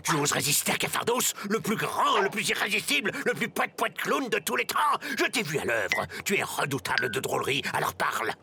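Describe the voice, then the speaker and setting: A man's voice: high-pitched, big pitch swings, brisk, creaky, few pauses, slight background noise, full character voice. An actor playing a vain, washed-up clown villain in a French cartoon, pompous and melodramatic in his speech. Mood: menacing, boastful. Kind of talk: French animated cartoon acting. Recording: loud music behind the voice.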